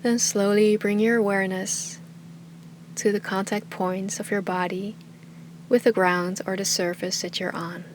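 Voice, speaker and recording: A woman teaching meditation with a calm, soothing, unhurried delivery that often trails into breathy softness. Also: medium pitch. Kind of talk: guided meditation, soft slow instruction.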